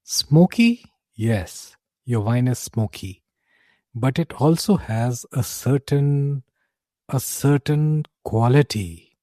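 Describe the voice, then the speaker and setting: Jolly male wine merchant, acted by the narrator, curious tavern regular in a fantasy tale. Mellow, dry, unhurried. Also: mid-range voice, expressive, dry recording. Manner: curious, encouraging. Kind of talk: fantasy audio storytelling dialogue.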